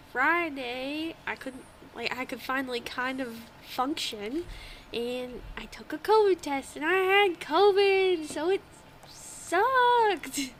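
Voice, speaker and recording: A woman's voice: high voice, a little hiss or hum. A young woman with a congested, stuffy nose, chatting casually as a podcast co-host, swearing freely and laughing often. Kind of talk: casual chat. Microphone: old home microphone.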